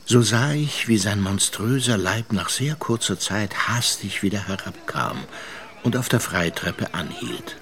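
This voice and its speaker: A man's voice: fairly low pitch, measured pace, a bit bright. A male actor playing a reflective, wry seaman who tells his memories in a radio drama. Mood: musing, wry. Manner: musing, storytelling, sardonic. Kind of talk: radio drama first-person narration. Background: music.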